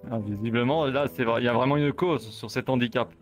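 A man's voice: medium pitch, fast talker, faint music behind. A man improvising a roleplay as a senior warrior, speaking in formal, solemn phrases. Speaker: roleplayer acting as a senior swordsman.

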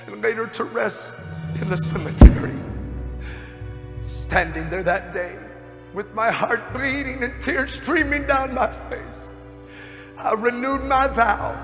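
A young American man playing a fervent Baptist preacher of the early 1900s in a theatrical stage monologue with dramatic pauses. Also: high-pitched, fairly bright tone. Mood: grieving. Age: young adult. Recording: echoey theater hall.

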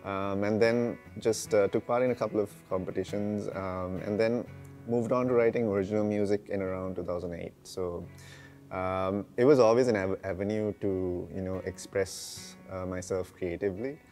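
A man's voice: low-pitched, fairly even intonation, normal speed, mellow. A man, a singer-songwriter talking reflectively and casually as an interview guest. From Sri Lanka. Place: large brick-walled room with big windows, indoors. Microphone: lapel mic. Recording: music behind the voice. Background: guitar music.

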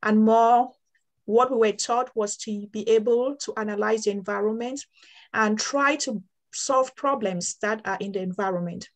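A woman from Cameroon speaks in a slightly raised pitch over a video call. She talks steadily and earnestly about her work, with the odd restart. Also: moderate pace. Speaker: water quality programs coordinator. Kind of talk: breakout room discussion.

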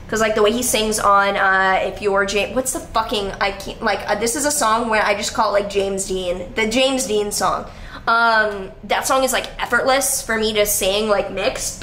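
High-voiced woman rambling in echoey room. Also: a little hiss or hum. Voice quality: high, bright voice. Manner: rambling, frequent "like" fillers, casual swearing. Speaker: vlogger. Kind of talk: casual vlog chat. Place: apartment living room. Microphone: handheld camera at arm's length. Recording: echoey room with faint ambience.

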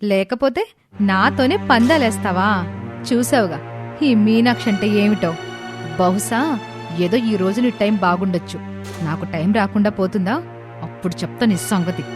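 A woman playing a sharp-tongued, spiteful daughter-in-law who speaks with sarcasm and scorn. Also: mid-range voice, moderate pace, pauses often, music in the background, natural voice. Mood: gloating, threatening.

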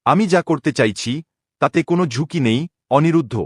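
A man playing a proud, vengeful young king in a cartoon drama, speaking gravely and declaiming with cold resolve. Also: a little high, moderate pace, no echo, studio quality, close to an everyday voice. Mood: defiant, resolute. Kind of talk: dramatic Bengali cartoon voice acting.

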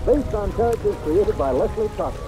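A man's voice: high voice, expressive, fairly quick, low-quality recording. An American man announcing on a 1950s radio broadcast in a polished, formal, promotional manner. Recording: vintage radio broadcast recording. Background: music, rain ambience.

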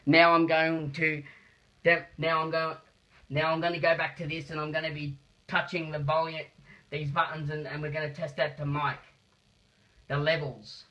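A man with a slightly high voice talks through a practical demonstration. He goes step by step, deliberate and halting, often repeating a phrase. The sound is a somewhat dull phone recording made indoors. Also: flat delivery. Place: small indoor room. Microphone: phone camera held nearby. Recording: muffled phone video sound.

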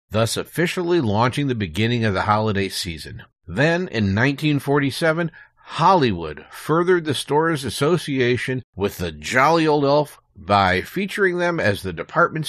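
A man's voice: medium pitch, a bit bright, dry recording, clean studio sound. A man speaking as a podcast guest, giving an explanatory history talk in an interview. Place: small recording booth.

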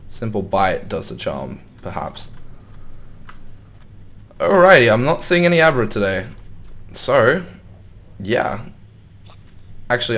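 A young man with a slightly sore voice, casually commenting on his gameplay and thinking aloud as he plays. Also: on the low side. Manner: thinks aloud, wondering and muttering to himself. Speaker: gaming YouTuber.

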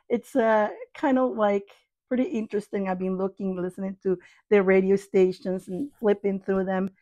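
A woman's voice: mid-range voice, darkish tone, no room sound, dull audio. A middle-aged woman giving a casual, chatty product review in a playful, affectionate manner, often repeating her phrases. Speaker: video host reviewing products. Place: small cluttered room indoors.

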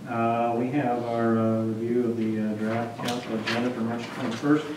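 Male meeting chair, halting and procedural, heard from far off in a very echoey, noisy room. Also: medium pitch, level tone. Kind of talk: running a formal meeting agenda. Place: small council chamber, indoors. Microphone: distant camera microphone.

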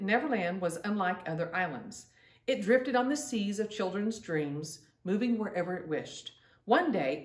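Middle-aged female storytime host, mid-range voice, reading to children from a little way off the mic in a roomy space. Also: mid-range voice. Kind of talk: read-aloud children's storytelling. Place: indoor room at home. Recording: roomy, distant-mic home recording.

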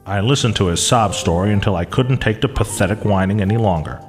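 An actor playing a hard-boiled, wisecracking private eye, narrating a mock radio noir in a deadpan, clipped tough-guy way. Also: on the low side, a little fast, lightly acted. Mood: deadpan, world-weary. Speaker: detective narrator. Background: music.

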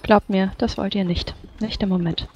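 A woman's voice: medium pitch, fairly even intonation, darkish tone, breathy edge, highs cut off. Woman playing a formal, courteous adventurer. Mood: polite, guarded. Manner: polite, measured, formal. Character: courteous, formal female adventurer addressing officials.

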